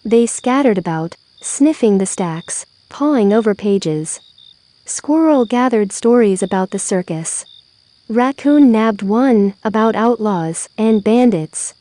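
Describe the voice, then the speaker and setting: A woman narrating a children's story aloud, with expressive, deliberate phrasing. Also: medium pitch.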